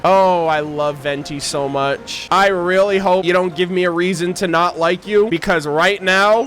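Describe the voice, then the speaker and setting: A male streamer reacts to a video game in a casual, excitable way. His voice is on the high side, full of slang and swearing, with faint music behind him.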